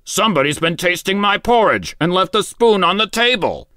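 Man putting on a growling storybook father bear, raised voice, lively and tense. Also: high voice, normal speed, no room sound, studio quality, pitched above the performer's own voice. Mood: gruff. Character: gruff big male father bear.

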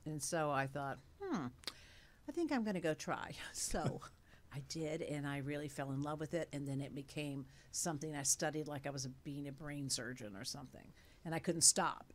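A woman in her late sixties, an actress, chatting animatedly in an interview at a studio mic, with many fillers. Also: deep voice. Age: 60s. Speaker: actress guest. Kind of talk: casual interview chat. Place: studio with dark curtains and blue lights. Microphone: studio mic on a stand.

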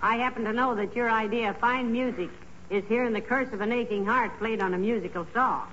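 Casting: actress as sensible, affectionate wife with a wry manner, 1940s radio comedy, hissy muffled recording. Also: female voice, on the high side, brisk, fairly bright tone, natural voice. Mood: gently teasing. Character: patient, gently teasing, sensible wife.

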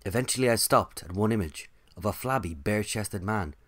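Man playing wry male office worker, deep voice, scripted storytelling, dry manner, audio fiction. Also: fairly quick, close to an everyday voice. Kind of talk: scripted first-person narration.

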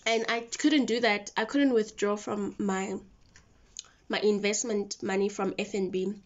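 A woman's voice: mid-range voice, fairly even intonation, measured pace. A woman in her twenties with a South African accent, chatting casually and informally to camera as a vlogger. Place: mostly a bedroom at home. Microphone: phone camera at arm's length. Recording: dull lo-fi phone audio.

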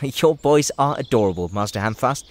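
Polite, whimsical older male hobbit man acting for audio drama; genial, musing, lively intonation. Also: mid-range voice, moderate pace, close to an everyday voice. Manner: courteous, musing, hesitant openings.

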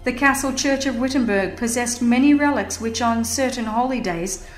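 A woman's voice: fairly high pitch, moderate pace. A woman narrating a religious history audiobook in a solemn, emphatic, formal manner. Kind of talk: dramatized scripted narration, reading a religious history. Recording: echoing voice over music. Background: music.

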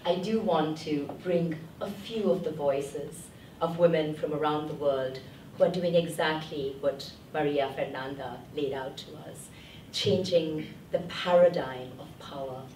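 Hoarse young woman giving a rousing address. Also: sits low, a little slow, a little hiss or hum. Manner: rousing, rising to loud declamation. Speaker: moderator. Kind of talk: moderating, then an impassioned address. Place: conference room, standing before the panel. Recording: roomy hall from a distant mic.

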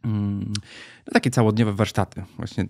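Low-voiced man explaining things thoughtfully. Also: fairly even intonation, normal speed, vocal fry, no room sound, clean studio sound. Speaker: podcast guest, brand strategist. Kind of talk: thoughtful interview conversation.

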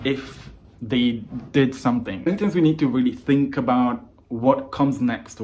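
A man in his thirties speaks English with a Hungarian accent as he coaches fluency learners straight to camera. He talks in an earnest, emphatic way, in a middle-pitched voice, recorded close up in a small room at home. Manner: earnest, emphatic, gestures a lot with hands. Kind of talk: scripted YouTube lesson to camera.

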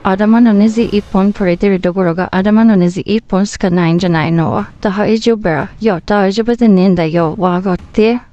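A woman playing a sharp, suspicious young hacker in a comedic voice drama, speaking in Tsugaru dialect in a blunt, scolding manner. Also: medium pitch, moderate pace, hardly any pauses, no room sound, highs cut off, lightly acted. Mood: exasperated.

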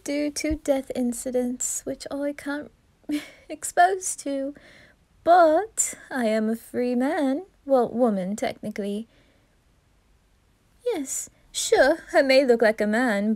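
Woman playing a campy flirt of a male reaper, slow, giggly, warm-toned. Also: high voice, no room sound, heavily acted. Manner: teasing, giggling, breathy whispers. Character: flamboyant flirtatious male reaper posing as butler. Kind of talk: ASMR roleplay.

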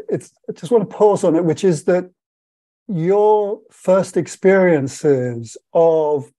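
An older man interviewing on a video call from a room at home, speaking in eager, quick bursts with repeated words. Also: a little high, mellow. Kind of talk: conversational interview.

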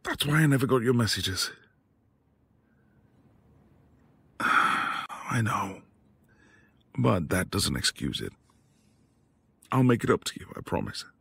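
Quiet, devoted academic husband male performer for boyfriend audio drama; husky, expressive delivery, hesitant and tender. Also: fairly low pitch, unhurried, pauses often, dry recording, full character voice. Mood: tender, reassuring. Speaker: audio roleplay voice actor. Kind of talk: intimate boyfriend ASMR roleplay acting.

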